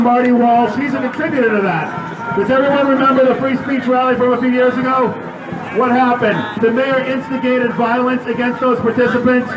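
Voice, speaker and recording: A man delivering a loud protest speech at an outdoor rally, firing questions at the crowd. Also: very high voice, very noisy. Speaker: rally speaker. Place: outdoor city square. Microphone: public address system heard from a distance. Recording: echoey outdoor PA from far off.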